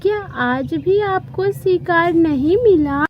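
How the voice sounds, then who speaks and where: A woman's voice: extremely high pitch, slow, highs cut off, slight background noise. A woman voicing a trusting, dutiful young sister crow in a children's cartoon, sweet and concerned. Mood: cheerful, caring. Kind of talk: Hindi children's cartoon dialogue.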